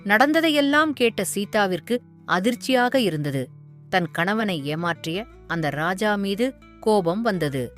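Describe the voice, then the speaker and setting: A woman narrates a children's story slowly and calmly, at a mid pitch. She tells it in a measured way, with light music under her voice.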